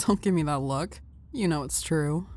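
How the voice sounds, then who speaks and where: Woman doing a tough teasing fighter gal, deep voice, blunt and cocky, audio drama. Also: moderate pace, darkish tone, natural voice. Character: tough brash young woman brawler. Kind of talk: fan audio roleplay.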